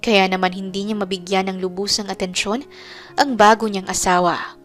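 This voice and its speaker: A woman's voice: mid-range voice, fairly even intonation, moderate pace, a bit bright, soft background music. A woman narrating a scripted romance story as a dramatic storyteller.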